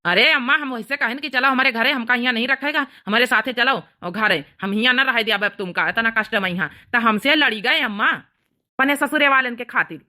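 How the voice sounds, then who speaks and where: A woman's voice: on the high side, brisk, pushed and bright. A woman voicing an aggrieved, worried son and brother in a cartoon drama, plaintive and complaining, with an Awadhi accent. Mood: upset, complaining. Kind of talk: cartoon family drama dialogue.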